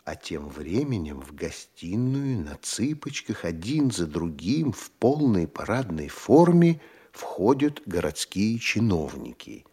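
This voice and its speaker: A man narrating the stage directions of a classic radio play in a measured, expressive manner. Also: low voice, husky.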